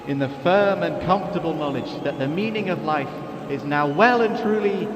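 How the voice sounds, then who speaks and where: An exultant public orator played by a man proclaims to a waiting people in a raised, fairly high voice. The sound rings in an echoey hall, with clear noise behind, as in an amateur radio drama. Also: moderate pace, highs cut off, natural voice. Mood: rousing. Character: grandiose male alien orator addressing his waiting people. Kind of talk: amateur radio play acting. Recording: echoey hall with noisy background.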